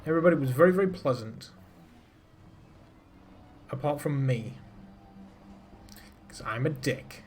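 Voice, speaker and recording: A man's voice: a little high, expressive, unhurried, long pauses, darkish tone, slight background noise. Joking man streaming a space game. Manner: casual, joking, self-deprecating banter; chats to viewers while piloting. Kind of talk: live gameplay commentary.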